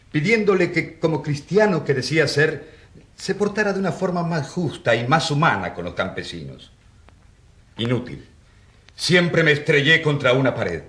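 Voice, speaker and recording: An actor playing a passionate rural priest who defends himself in court with earnest, indignant rhetoric in a radio drama. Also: male voice, medium pitch, a bit bright, roomy sound.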